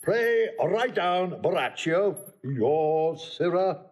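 An actor playing a pompous, bumbling old constable with a creaky voice, in broad Shakespearean comedy. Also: male voice, high voice, expressive, very slow, full character voice. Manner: pompous, self-important, blustering. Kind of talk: broad comic Shakespearean acting.

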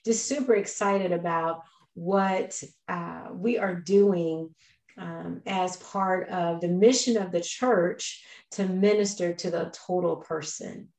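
A woman's voice: on the low side, slow, vocal fry, darkish tone. Echoey American woman guest on video call. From Texas, United States. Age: middle-aged. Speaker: guest, church minister. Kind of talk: conversational interview. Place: indoors on a video call, virtual background.

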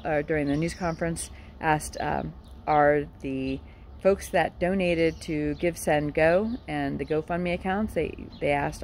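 A woman hosting her own commentary vlog, talking earnestly in a casual, halting way with many fillers and restarts. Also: low-pitched, a little mellow, a little hiss or hum. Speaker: political commentary vlogger.

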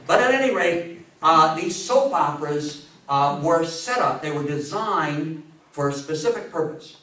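An American man in his sixties giving an interactive public talk, animated and theatrical, full of rhetorical questions. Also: on the high side, a little slow. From New York State, US. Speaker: lecturer giving a history talk. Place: small meeting room with seated audience. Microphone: far camera. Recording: echoey room, distant camera microphone.

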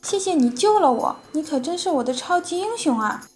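A woman's voice: high-pitched, animated, brisk, over music. A woman voicing a small, weak, grateful panda in a children's toy cartoon, earnest and admiring. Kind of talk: children's toy animation dubbing.

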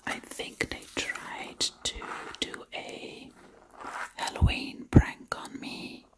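A woman in her thirties whispers an ASMR story close to the mic, hushed, repeating words rhythmically. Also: poor audio. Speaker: ASMR creator. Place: small indoor room at home.

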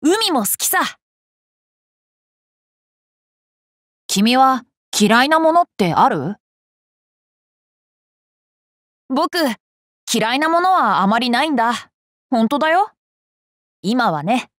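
A woman's voice: sits high, very animated, unhurried, fairly bright tone, no room sound, clean studio sound, full character voice. A woman voicing a cheerful, curious boy king for an anime game, boyish and polite, with playful self-assurance. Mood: friendly, easygoing. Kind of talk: Japanese anime game character lines.

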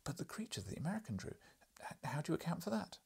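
A man voicing an eager, excitable, awed journalist in an audiobook, hesitant and deferential with a slight stammer. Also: fairly high pitch, very fast, creaky, breathy edge, pitched above the performer's own voice, heavily acted. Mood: eager, curious. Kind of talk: audiobook character reading.

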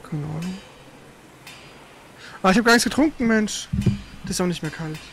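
A man's voice: sits high, moderate pace, a bit bright. A man streaming a video game, thinking aloud in casual, informal live commentary. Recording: faint background noise.

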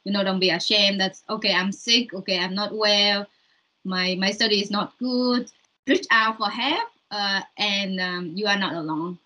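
Young woman, Vietnamese accent, bright voice, casual video-call interviewee. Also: mid-range voice, moderate pace, low-quality recording. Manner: chatty, with fillers and non-native grammar. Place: room at home, indoors.

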